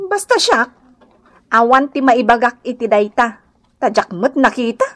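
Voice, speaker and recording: A woman playing a disbelieving, mildly scolding neighbor in an Ilocano radio comedy drama, expressive and chiding. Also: fairly high pitch, normal speed.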